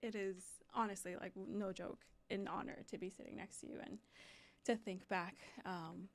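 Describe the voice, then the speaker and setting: A young adult woman co-hosting a studio talk show, lively and playful, with lots of "like"s. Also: medium pitch, moderate pace. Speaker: co-host. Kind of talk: casual chat. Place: radio studio.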